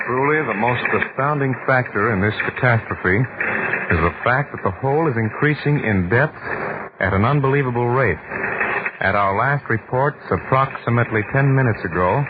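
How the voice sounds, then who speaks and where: An actor playing a grave radio news reporter with formal, portentous 1940s delivery. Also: male voice, medium pitch, close to an everyday voice. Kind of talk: 1940s radio newscast acting. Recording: crackly, narrow-band 1940s radio.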